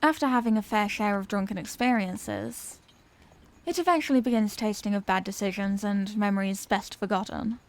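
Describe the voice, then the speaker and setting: A woman's voice: mid-range voice, moderate pace, natural voice. A woman playing a refined, courteous Victorian vampire lady in an audio roleplay, speaking in formal, old-fashioned phrases. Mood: courteous, caring. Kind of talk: ASMR audio roleplay, scripted character acting.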